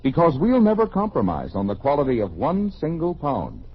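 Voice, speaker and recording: An American man reading a scripted sponsor pitch in an emphatic vintage radio announcing style. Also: huge pitch swings, moderate pace, husky. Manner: emphatic sales delivery. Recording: muffled old radio broadcast.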